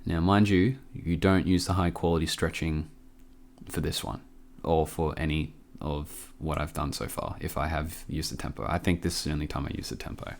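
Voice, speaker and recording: A man hosting a casual software tutorial, informal and a bit hesitant as he thinks aloud. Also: rumbling low, steady tone, normal speed, vocal fry. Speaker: tutorial host.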